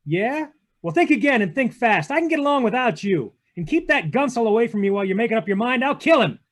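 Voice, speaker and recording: Radio noir lead, male actor: sardonic, impatient gumshoe, high-pitched voice, clipped tough-guy lines. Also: lively intonation, fast. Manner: terse, sardonic, hard-boiled. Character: tough, wry, impatient male private detective. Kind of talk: 1940s radio drama read-through with narration. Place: video call read-through.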